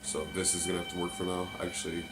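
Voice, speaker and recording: A man's voice: deep voice, completely flat delivery, quick pace, noisy background. A man with a cold, sounding stuffed up, chatting casually through a mask unboxing with lots of fillers. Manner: offhand, rambling, many fillers. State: congested from a cold. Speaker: mask collector reviewing a mask. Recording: loud music playing behind the voice. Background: loud music from a movie playing.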